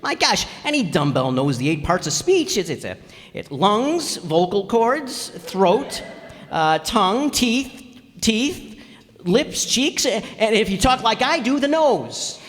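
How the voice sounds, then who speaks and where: A man's voice: high voice, sing-song, slow pace, fairly bright tone, full character voice. A man playing a comic, blustery husband who puts on pompous grand diction that slips into folksy slang, in old-time radio comedy style. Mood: cocky. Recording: echoey hall stage recording.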